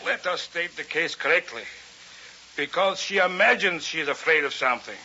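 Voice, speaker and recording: A man's voice: on the high side, lively intonation, moderate pace, sharp tone. An actor playing a cold, saturnine physicist in his forties, formal, precise and dismissive, in a vintage radio drama. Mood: cold, disdainful. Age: 40s. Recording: muffled vintage radio recording with hiss.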